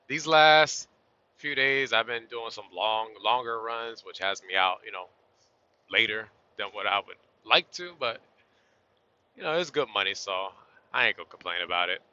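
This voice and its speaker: A man driving a truck and chatting casually to his vlog audience, a little hoarse and losing his voice, with an easygoing, rambling manner. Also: on the low side, measured pace, long pauses, bright, forceful tone. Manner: laid-back, chatty, southern-style y'all. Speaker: truck driver vlogger. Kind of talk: casual vlog talk to camera while driving. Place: inside a truck cab on the road. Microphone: phone or camera on a tripod. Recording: dull lo-fi sound.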